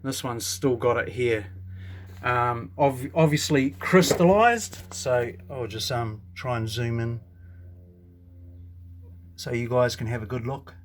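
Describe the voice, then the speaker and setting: A man's voice: medium pitch, slow pace, pauses often, a little hiss or hum. A man with a New Zealand accent giving a casual, hands-on show and tell, rambling and thinking aloud as he goes. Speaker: hobbyist radio reviewer. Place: indoors at a home workbench.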